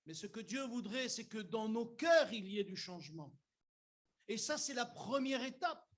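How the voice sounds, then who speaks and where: Older male pastor, high voice, conversational sermon delivery, bursts of emphasis. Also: lively intonation, normal speed, fairly bright tone. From Algeria. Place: church.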